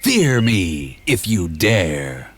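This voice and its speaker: A man voicing a suave swashbuckling male cat swordsman with a Spanish accent, speaking low, slow and hissing. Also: big pitch swings, heavily acted. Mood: bold.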